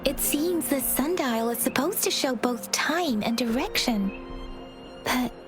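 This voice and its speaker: A woman playing a gentle, studious young scholar in a video game, polite and measured as she explains. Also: sits high, animated, over music. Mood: informative. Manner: measured, soft-spoken, explanatory. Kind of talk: English video game voice acting.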